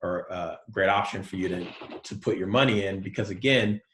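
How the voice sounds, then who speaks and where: A male college professor giving a relaxed, soft-spoken lecture online, with many fillers. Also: low-pitched, fairly quick, echoey room, a little hiss or hum, rough recording.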